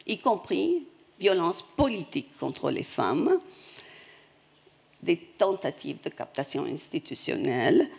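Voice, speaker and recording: Gravelly slow woman interpreter. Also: on the low side, a little mellow. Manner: measured, halting, with restarts mid-phrase. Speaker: simultaneous interpreter. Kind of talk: live conference interpretation into French.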